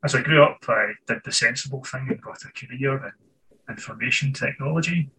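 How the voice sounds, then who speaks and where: A man's voice: medium pitch, fairly quick, sharp tone, far from the mic, rough recording. A Scottish man being interviewed over a video call from his writing office, thinking aloud and restarting phrases as he goes. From Scotland. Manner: thoughtful, restarts phrases while searching for words. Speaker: author guest.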